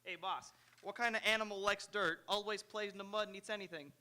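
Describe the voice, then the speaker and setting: Man playing a high, bright Italian-accented wisecracker. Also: fairly even intonation, fairly quick. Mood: eager, dim. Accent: put-on Italian. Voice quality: broken English. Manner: wisecracking, literal-minded. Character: cheeky, dim-witted comic male office assistant, wisecracking schemer. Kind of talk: 1930s comedy radio play acting. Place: auditorium stage. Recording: live stage radio play.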